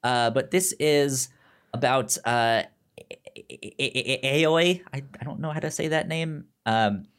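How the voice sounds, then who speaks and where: A man chatting casually as a podcast co-host, halting and informal, with a stutter that repeats sounds and syllables. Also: medium pitch, a bit bright, clean tone. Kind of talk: casual chat, pitching shows to a co-host.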